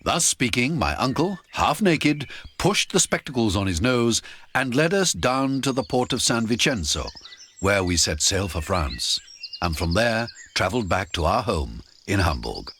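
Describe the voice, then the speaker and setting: A man narrating an adventure audiobook in the first person, theatrical and expressive. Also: lowish voice, measured pace, slight background noise.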